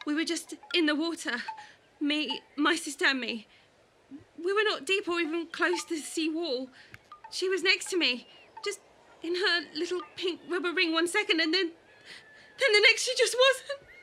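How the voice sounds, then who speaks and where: A frightened woman in an audio drama, frantic and pleading. The voice is extremely high and sharp, halting and breathless, over faint noise. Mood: shaken, grieving.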